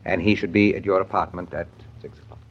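A man's voice: low-pitched, fairly even intonation, quick pace, husky. Formal middle-aged businessman, muffled old radio. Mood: courteous, rueful. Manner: formal, articulate, wryly rueful. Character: dry, courteous businessman, father of a teenager. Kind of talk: 1940s radio soap opera acting. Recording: dull 1940s radio broadcast recording.

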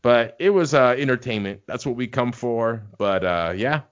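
A man's voice: mid-range voice, expressive, normal speed, muffled recording. A man co-hosting a casual podcast, talking in a laid-back, rambling way with lots of fillers. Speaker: podcast co-host. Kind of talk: casual chat.

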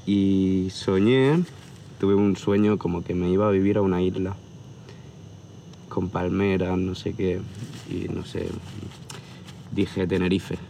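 Deep, flat-voiced man from Barcelona. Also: slow, vocal fry, pauses often, a little hiss or hum. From from near Barcelona, Spain. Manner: relaxed, rambling, with hesitations. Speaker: tattoo artist guest. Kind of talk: casual interview chat. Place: outdoor hilltop viewpoint at sunset. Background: crickets and outdoor ambience.

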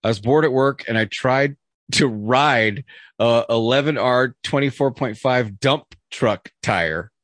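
A man's voice: medium pitch, a little slow, fairly bright tone, no echo. A man co-hosting a casual podcast chat, reading a list aloud with jokey asides, fillers and restarts. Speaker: podcast co-host.

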